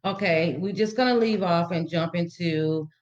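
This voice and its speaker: A woman's voice: lowish voice, moderate pace. A woman educator explaining things informally to parents as a radio guest, instructive and repeating key words. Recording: slightly dull radio audio.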